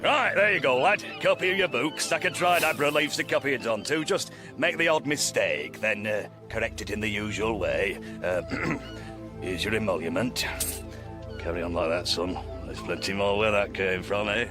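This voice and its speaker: A man's voice: on the low side, normal speed, lightly acted. A man acting a matey, blustering salesman with a Northern English accent in a radio comedy, full of bluff, hesitant patter. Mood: brisk, matey. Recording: music under the voice.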